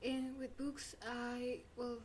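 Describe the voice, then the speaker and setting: Slow, hesitant young woman student. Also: a little high, level tone, a little mellow, slight background noise. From Spanish-speaking country. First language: Spanish. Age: young adult. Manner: hesitant, many fillers and restarts. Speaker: student guest. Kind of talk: interview answers.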